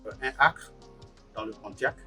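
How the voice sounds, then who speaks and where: A man's voice: fairly low pitch, measured pace, bright, forceful tone. A man from Burkina Faso, an agricultural technician giving a hesitant interview testimony. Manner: hesitant, lots of filler sounds. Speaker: agricultural technician, farm co-founder. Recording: somewhat distant mic, faint music under.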